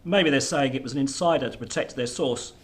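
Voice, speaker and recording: A man's voice: medium pitch, brisk, natural voice. A man acting in a radio drama as a blunt army general who challenges insistently. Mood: challenging.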